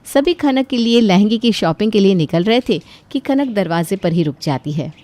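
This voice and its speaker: Lady reading out a cartoon story, mid pitch, really dry sound. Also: animated, moderate pace, mellow, no echo. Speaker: story narrator. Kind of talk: scripted storytelling narration for a cartoon.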